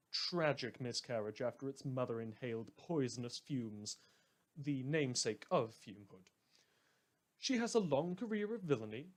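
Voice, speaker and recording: A man's voice: mid-range voice, close to an everyday voice. Theatrical, wry radio news host, acted by a man. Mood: solemn, storytelling. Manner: dramatic, florid, drily wry, deliberate emphasis. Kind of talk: scripted fictional community radio news monologue.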